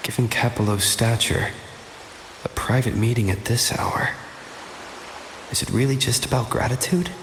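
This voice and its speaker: An actor voicing a wary, shrewd adventurer thinking aloud in a video game, in a musing tone. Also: male voice, mid-range voice, steady tone, normal speed, pauses often, background noise, natural voice. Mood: suspicious.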